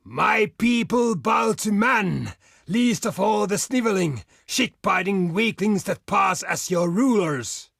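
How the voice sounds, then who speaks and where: A man acting a proud, blunt barbarian chieftain for a video game, with a gravelly, husky voice and a theatrical, declamatory delivery. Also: sits high, expressive, slow, fairly bright tone, no echo, studio quality, exaggerated voice. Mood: scornful, defiant. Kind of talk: video game character voice lines.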